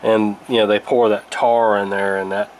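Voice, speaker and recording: A man's voice: on the low side, little pitch movement. Man explaining repairs, noisy background. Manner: halting, unscripted, explanatory. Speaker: radio repair video host. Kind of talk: casual hands-on repair tutorial. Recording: clear background noise.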